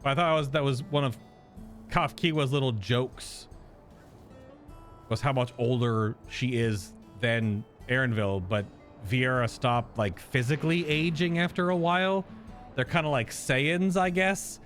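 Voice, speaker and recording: A man's voice: medium pitch, steady tone, measured pace, a bit bright. A man giving casual live reaction commentary as a game streamer, rambling and thinking aloud. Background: game music.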